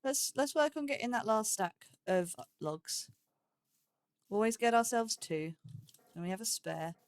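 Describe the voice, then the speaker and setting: A woman's voice: a little high, lively intonation, measured pace, no room sound. A woman giving casual, rambling gameplay commentary, thinking aloud with a playful, self-chiding manner. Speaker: let's play host.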